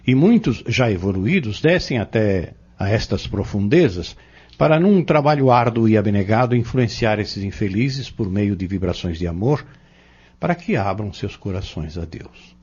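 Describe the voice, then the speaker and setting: A Brazilian man acting in an audio novel as a patient, earnest Spiritist teacher, explaining doctrine in measured, emphatic phrases. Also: lowish voice, lively intonation, darkish tone, husky. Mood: earnest, instructive. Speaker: Spiritist instructor in an audio drama. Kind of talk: didactic audio-novel dialogue. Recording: dull, lo-fi audio.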